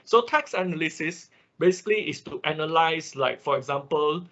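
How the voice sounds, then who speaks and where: A man who is a non-native English speaker gives an informal explanatory lecture in an online class, in a halting, repetitive way with frequent check words. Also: on the high side, pushed and bright. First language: not English. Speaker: instructor of an online robotics class. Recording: dull, lo-fi online class audio.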